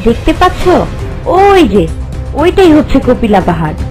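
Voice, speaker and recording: A woman's voice: high-pitched, very animated, moderate pace. A woman voicing a young, earnest, anxious princess in a cartoon fairy tale. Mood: earnest, urgent. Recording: music behind the voice.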